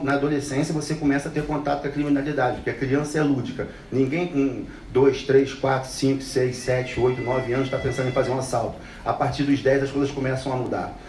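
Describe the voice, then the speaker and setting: A man's voice: medium pitch, a little mellow. A man speaks with blunt conviction and drives his points home by repeating them. He is picked up from some distance in a reverberant room. A faint hiss of noise sits under his voice. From Brazil. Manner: passionate, blunt, repeats phrases for emphasis. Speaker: speaker telling his life story. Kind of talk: earnest talk to an audience.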